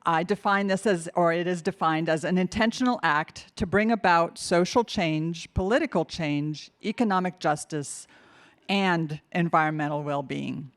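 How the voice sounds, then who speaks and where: Low-voiced woman giving a keynote. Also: normal speed. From Finland. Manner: nervous start, many fillers and restarts. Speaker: keynote speaker. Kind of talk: conference keynote talk. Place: conference hall.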